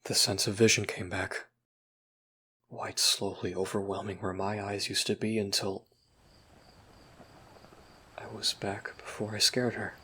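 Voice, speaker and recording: Man acting low, flat, brooding young man. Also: unhurried, long pauses, a little hiss or hum. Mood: regretful, heavy. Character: brooding, guilt-ridden young human recounting a traumatic memory. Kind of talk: dramatic monologue in an audio roleplay.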